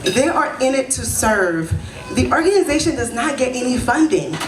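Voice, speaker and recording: A woman's voice: high voice, expressive, moderate pace, over music. Haitian-born woman hosting a heritage event. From Haiti, raised in Florida. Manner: conversational, often adds "right?" to draw in the crowd. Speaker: event host and senior advisor. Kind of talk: speech at a community event. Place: event hall. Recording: echoey hall.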